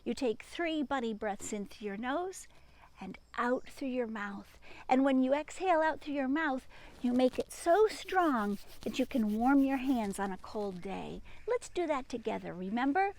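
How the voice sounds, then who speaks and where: Woman primary teacher for children's story time: light high voice, very measured pace, expressive delivery, hushed asides to young listeners. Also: poor audio. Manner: sing-song, expressive, addresses children directly with hushed asides. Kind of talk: animated children's picture-book read-aloud and gentle chat to children.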